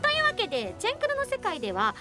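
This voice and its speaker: A woman's voice: very high voice, huge pitch swings, quick pace, over loud music. A woman hosting a radio show, moving between light chat and program announcements.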